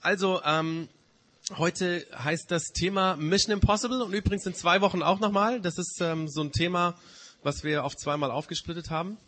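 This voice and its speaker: Male preacher, higher-pitched and husky with a mild cold; informal, explanatory sermon style that restates points. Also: normal speed, pushed and bright. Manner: explanatory, often rephrasing and repeating key points. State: slight head cold. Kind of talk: informal sermon to a church community.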